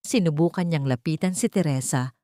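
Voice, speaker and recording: Low-voiced Filipino woman narrating drama. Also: normal speed, warm tone, dry recording, studio quality. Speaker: audiobook narrator. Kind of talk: dramatic scripted story narration.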